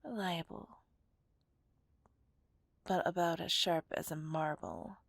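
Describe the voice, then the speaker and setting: A seductive, possessive villainess played by a woman whispers in a breathy voice with a gravelly edge. She is teasing and dominant, drawing her words out slowly as if toying with a captive in an ASMR roleplay. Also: fairly low pitch, no echo, exaggerated voice. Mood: sly, confiding. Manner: teasing, dominant, drawn-out delivery. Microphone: close microphone, dry sound.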